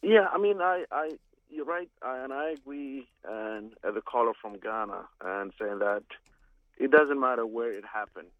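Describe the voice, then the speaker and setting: A man from Somalia calling in to a radio talk show, speaking haltingly with many restarts over a phone line. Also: mid-range voice, expressive, dry recording. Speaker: caller.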